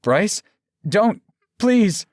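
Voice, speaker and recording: A man voicing an anxious, gentle young man who pleads desperately in a whimpering way. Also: high voice, sing-song, drawn-out words, no room sound, studio quality, voice pushed up, exaggerated voice. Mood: anguished begging.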